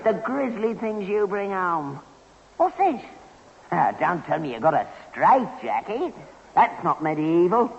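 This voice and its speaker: A man's voice: high voice, sing-song, unhurried, vocal fry, background noise, exaggerated voice. An actor playing a grumbling, scornful old London Cockney father in a 1960s radio sitcom. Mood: nosy disapproval. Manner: querulous, scornful. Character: grumbling, mocking, sly old rag-and-bone man father. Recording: dull 1960s radio recording.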